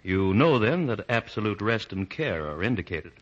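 A man's voice: on the low side, very animated, normal speed, fairly bright tone. An actor playing a grave, courteous, firm ship's doctor, a man in a 1940s radio drama. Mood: grave, concerned. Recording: muffled vintage 1940s radio broadcast.

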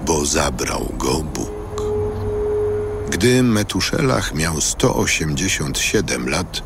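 A man narrating scripture from a script in a measured, solemn style. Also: rumbling low, big pitch swings, vocal fry, loud music behind the voice. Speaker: narrator of an audio Bible.

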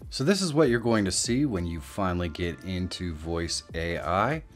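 A man hosting a software tutorial, explaining things step by step as narration. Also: sits low, lively intonation, normal speed. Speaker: tutorial host. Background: background music.

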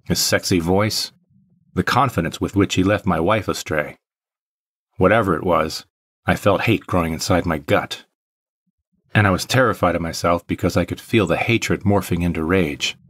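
Man acting a deep-voiced brooding, vengeful narrator. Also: moderate pace, fairly bright tone, no room sound, studio quality. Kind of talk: first-person audio drama narration.

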